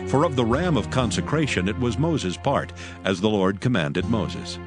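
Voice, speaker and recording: A man narrating scripture in a steady, reverent, measured way for a dramatized audio Bible. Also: lowish voice. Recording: narration over clear music. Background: music.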